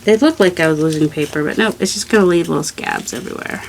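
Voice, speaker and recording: A woman's voice: lowish voice, fast talker, gravelly, a little hiss or hum. A woman hosting a craft video, chatting casually and rambling to herself with playful self-scolding while she works, over clicks and crinkling paper.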